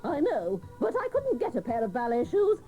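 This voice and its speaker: A woman's voice: sits high, wildly swooping pitch, normal speed, mellow, lo-fi sound. A woman playing a fussy, wailing aunt with exaggerated sing-song delivery in a comic radio drama. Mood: fussy, theatrical. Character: fussy, wailing aunt giving Christmas presents. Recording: music under voice, old cassette radio recording.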